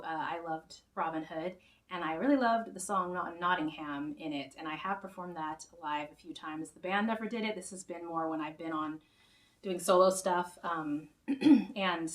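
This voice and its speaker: A woman hosting her own music show, chatting casually to viewers in a rambling way with many restarts, her voice a little worn. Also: fairly low pitch. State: slightly losing her voice. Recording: roomy sound, mic far off, faint guitar.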